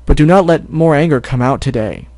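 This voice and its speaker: A man voicing a wise female zebra who speaks slowly and in rhymes. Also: a little high, dark tone, no room sound, natural voice. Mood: calm. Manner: measured, rhyming, slow.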